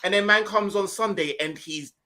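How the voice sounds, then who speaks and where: A man's voice: high voice, normal speed, sharp tone. A young man, chatting animatedly about football as a podcast co-host, full of slang and repeating himself for emphasis. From Ghanaian heritage. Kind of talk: casual football chat.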